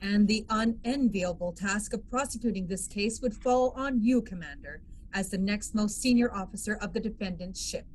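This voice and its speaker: A woman's voice: medium pitch, normal speed, few pauses, darkish tone, natural voice, low-quality recording. A woman playing a firm, by-the-book military legal officer in a table read, formal and authoritative, with some background noise. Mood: official. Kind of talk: amateur table read of a sci-fi script.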